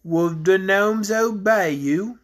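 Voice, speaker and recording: A man voicing a huge, boastful dragon in a storybook, gruff and commanding in manner. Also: high voice, takes it slowly. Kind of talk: storybook character voice in narrated children's fantasy.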